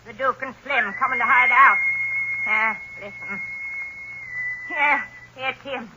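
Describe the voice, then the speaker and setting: An actress in an old radio drama playing a crazed, possessive old backwoods woman with a shrill cackle and rustic speech. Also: slow pace, dull audio, heavy background noise. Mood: anxious scheming. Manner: rustic uneducated speech, wild-eyed ranting. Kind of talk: 1930s radio acting. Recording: old 1930s radio broadcast recording.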